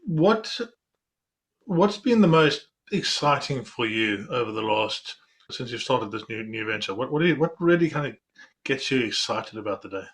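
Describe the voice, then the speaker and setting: Man, podcast host, relaxed, halting, casual interview chat. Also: medium pitch. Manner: relaxed, halting, fills with yeah.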